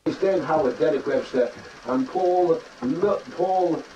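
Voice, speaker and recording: A man's voice: fairly high pitch, unhurried, warm tone, distant mic, lo-fi sound, a little hiss or hum. A man interviewing guests as a local radio host.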